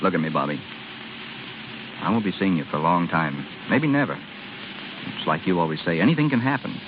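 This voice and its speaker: An actor playing a brash but bashful young American office go-getter in a vintage radio drama, breezy and slangy. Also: male voice, deep voice, lively intonation, moderate pace. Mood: bashful, downcast. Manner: breezy, slangy, hesitant about feelings. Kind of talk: 1930s-40s radio drama acting. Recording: dull, noisy old radio recording.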